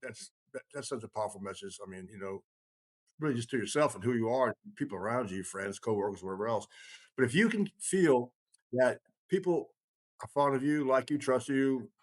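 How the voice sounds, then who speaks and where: A man's voice: medium pitch, a little fast. An older man in casual podcast conversation, rambling but emphatic, with blunt, salty language. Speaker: kindred chieftain.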